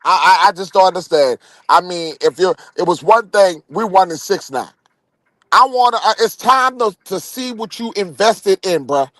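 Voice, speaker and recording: A man's voice: high-pitched, lively intonation, moderate pace, a bit bright, no room sound, low-quality recording. A man co-hosting a sports podcast in heated, casual debate, insistent and repeating himself for emphasis.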